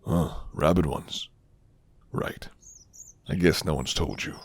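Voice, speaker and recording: A man playing a flirty, gentle, rugged werewolf who lives alone in the forest, speaking slowly and hushed in a deep, gravelly ASMR roleplay voice. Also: no room sound, exaggerated voice. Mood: soft, amused. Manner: slow, hushed, teasing.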